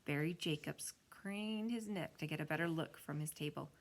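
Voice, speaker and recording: Female storyteller, low and creaky, expressive read-aloud for a children's novel. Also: moderate pace. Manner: expressive reading with acted-out dialogue. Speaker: kindergarten teacher reading a children's novel aloud.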